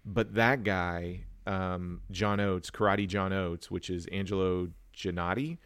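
Low-voiced man chatting casually. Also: steady tone, slow pace, fairly bright tone, clear, resonant tone, dry recording. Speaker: movie podcast host. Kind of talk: casual chat.

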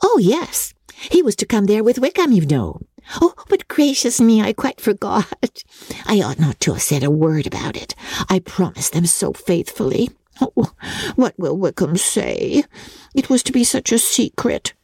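Woman acting a giddy, chattering young bride, swooping pitch. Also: very animated, unhurried, gravelly, warm tone, husky, no room sound, studio quality, heavily acted. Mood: flustered. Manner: gushing, breathless gossip with exclamations. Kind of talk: dramatic classic novel reading.

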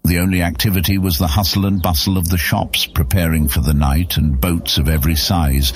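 A man's voice: sits low, fairly even intonation, brisk, slightly rough. A man narrating a scripted fantasy story as an audiobook narrator.

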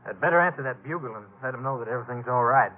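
A man's voice: medium pitch, expressive, very fast, natural voice. A man playing a brave, protective frontier hero in a 1950s radio drama, earnest and commanding. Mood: relieved, tender. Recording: tinny, noisy old radio recording.

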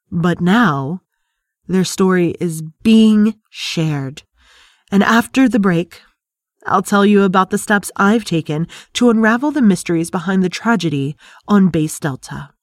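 Female, actor in role: investigative podcast journalist, scripted narration. Grave, measured, dramatic pauses, mid-pitched, dry studio sound. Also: a little mellow, dry recording, lightly acted. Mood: probing, pointed.

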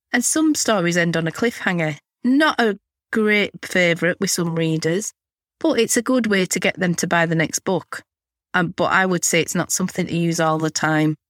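A woman in her forties hosting a chatty solo podcast, candid and a little cheeky, her voice slightly hoarse from a cold. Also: on the low side, a bit bright, no echo, clean studio sound. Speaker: podcast host.

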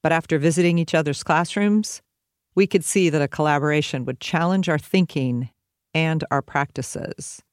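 Woman, audiobook narration, smooth resonant voice, measured, dry close sound. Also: deep voice, dry recording. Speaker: teacher and author reading own audiobook.

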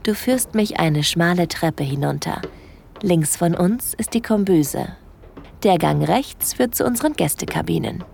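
A woman playing a shy yet bold young cruise guest in an intimate audio drama, speaking softly and hesitantly. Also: fairly low pitch, animated, a little slow, vocal fry, slight background noise. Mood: intimate musing.

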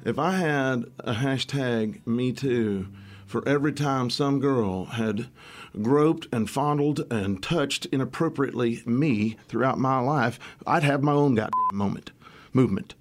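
A middle-aged man, a musician guest, talking casually in a rambling way, his voice worn and raspy at the end of a tour. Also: mid-range voice, a little slow. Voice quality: gravelly. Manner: rambling, restarts often, swears casually. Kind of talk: casual interview chat.

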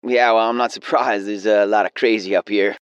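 A man's voice: lowish voice, fairly even intonation, fairly quick, smooth, no echo, muffled recording, natural voice. Actor as an earnest male radio caller, dry sound. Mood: earnest, eager. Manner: hesitant, earnest, stumbling over words. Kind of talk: naturalistic audio drama acting.

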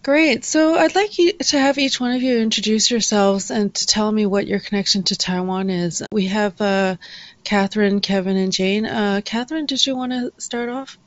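A woman hosting a podcast, reading a scripted introduction and gently prompting her guests. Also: medium pitch, moderate pace, dull audio. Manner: scripted intro, then soft prompting questions. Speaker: podcast host. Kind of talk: interview hosting.

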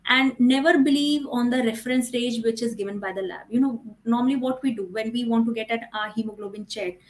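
Indian-accented woman doctor, higher-pitched voice. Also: fairly quick. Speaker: fertility doctor, podcast guest. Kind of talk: explanatory interview answers.

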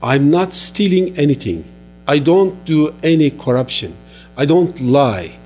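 A man from Turkey with a Turkish accent, speaking halting, earnest non-native English as an interview guest giving testimony. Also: a little high, lively intonation, darkish tone, soft background music.

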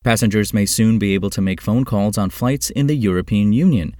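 A man's voice: lowish voice, a little fast, a little mellow, no echo, studio quality. A man reading scripted travel-style news briefs as a podcast host.

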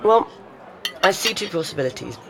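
A woman's voice: lowish voice, lively intonation, creaky, a bit bright. An actress playing an analytical, self-assured woman expert in informal, naturalistic audio drama dialogue. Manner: informal, analytical, halting as she reasons.

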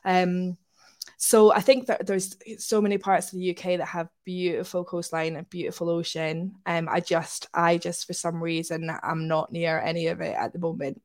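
A woman from Scotland speaks as a guest in a casual interview. Her voice sits a little low, and she talks in a reflective, conversational way. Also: little pitch movement, moderate pace, a little mellow. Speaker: podcast guest.

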